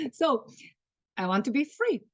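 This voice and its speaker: A Japanese woman in her thirties with a Japanese accent, speaking halting English with soft laughs as a guest on a video call. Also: high voice, no room sound. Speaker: guest, tour guide. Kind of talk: casual interview over video call.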